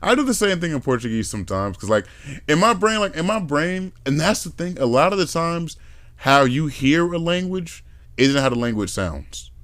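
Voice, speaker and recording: A man's voice: a little high, normal speed, no room sound. A man hosting a podcast, chatting casually and acting out accents as he rambles through his ideas. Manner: lively, acts out accents, rambling. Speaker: podcast host. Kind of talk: casual chat.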